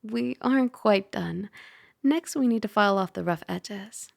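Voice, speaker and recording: A woman playing a caring, teasing dragon girl roommate in an ASMR roleplay, soft, chatty and gently instructive. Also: mid-range voice, unhurried, gravelly, no echo, studio quality. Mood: gentle, reassuring.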